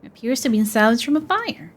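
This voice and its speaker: A young woman doing casual, expressive playthrough commentary and reading game text aloud. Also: a little high, quick pace, a little hiss or hum. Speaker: gaming streamer.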